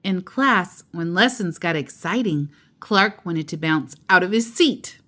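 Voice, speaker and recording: Slow-paced, lively woman reading a storybook. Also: lowish voice. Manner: expressive, playful, addressing a child. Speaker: storytime host and narrator. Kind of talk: animated picture-book read-aloud with chatty asides.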